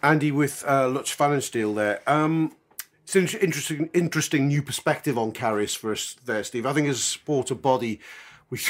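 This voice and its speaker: Mid-pitched man hosting a football chat. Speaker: podcast host.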